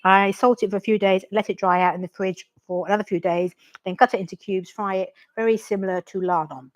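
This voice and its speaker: A woman's voice: mid-range voice, steady tone, brisk. A woman co-hosting a casual livestream, reading viewers' comments aloud. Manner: reads viewer messages aloud, light laughter.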